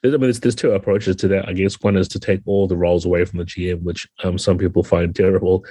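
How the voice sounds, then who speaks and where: A man's voice: sits low, level tone, quick pace, dark tone, breathy edge, no echo. A man with a New Zealand accent explaining things conversationally as a guest in a casual podcast interview. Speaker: podcast guest, tabletop game designer.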